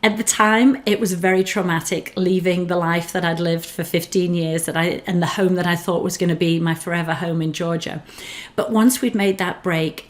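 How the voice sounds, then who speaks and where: A woman with an English accent who grew up in northern England, speaking as a relocation specialist in an earnest, encouraging talk to camera. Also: lowish voice. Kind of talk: scripted personal talk to camera.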